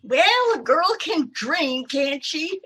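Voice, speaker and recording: A woman playing an excitable, dithering older landlady, fluttery and gushing, in an old-time radio comedy. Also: sits high, slow, full character voice. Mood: flustered, swooning.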